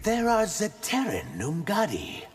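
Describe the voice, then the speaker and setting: An actor playing an earnest reptilian shapeshifter warrior who speaks formally as a royal emissary in a fighting game. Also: male voice, high voice, wildly swooping pitch, measured pace, big-room sound, loud music behind the voice, full character voice. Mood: guarded, firm. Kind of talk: video game fight banter acting.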